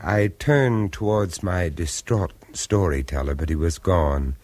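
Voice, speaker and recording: An actor in a 1970s horror radio drama playing a grave gentleman who listens, probes and narrates in a measured, sparse way. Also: deep voice, no room sound. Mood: sombre.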